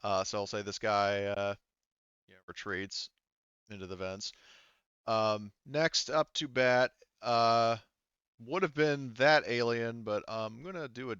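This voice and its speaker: A man running a tabletop roleplaying game as game master, improvising scenes and rules in a halting, stop-and-start way. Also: mid-range voice, fairly even intonation, a bit bright, clean tone, no echo, highs cut off.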